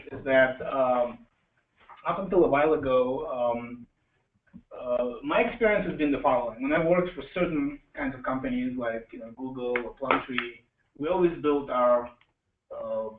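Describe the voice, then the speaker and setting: Male meetup host, medium pitch, informal and halting, joking delivery, roomy echo, thin phone-like sound. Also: distant mic. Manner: joking, halting, asks the audience questions. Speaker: meetup host introducing speakers. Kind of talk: informal live presentation to an audience. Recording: echoey room, tinny band-limited sound, faint noise.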